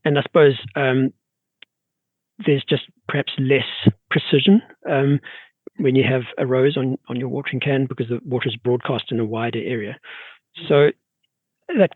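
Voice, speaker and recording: A man's voice: mid-range voice, fairly even intonation, dry recording. South African man on a tinny line. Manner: measured, halting, many restarts and ums. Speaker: guest horticulture expert. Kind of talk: casual podcast interview. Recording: tinny phone-like remote line.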